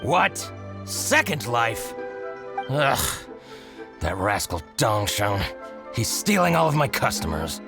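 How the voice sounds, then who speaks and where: A man playing an envious, scheming shopkeeper in a video game, theatrical, excitable and given to grumbling and gloating. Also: medium pitch, takes it slowly, gravelly, pauses often, over music, full character voice. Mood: indignant.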